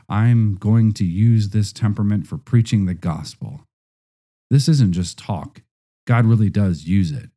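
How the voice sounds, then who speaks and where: Deep, very mellow male narrator.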